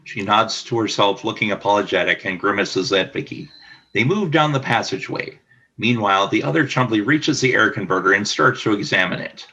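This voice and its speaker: Man, scripted narrator, slightly low pitch, bright clear-cut tone, radio play storytelling. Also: animated, fairly quick, muffled recording.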